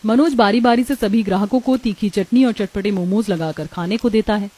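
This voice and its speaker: Lady storyteller for a cartoon, soft mellow tone, medium pitch, reading scripted narration. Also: brisk.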